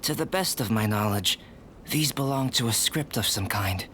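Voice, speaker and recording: An actor playing a calm, studious young alchemist in an anime fantasy video game, speaking in a measured, polite and precise way. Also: male voice, lowish voice, slight background noise. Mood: intrigued.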